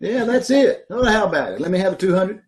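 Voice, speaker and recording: A man's voice: high voice, big pitch swings, fast talker. A man playing a breezy, freeloading brother-in-law in an old-time radio comedy, jovial and wheedling, over a video call. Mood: cheerful.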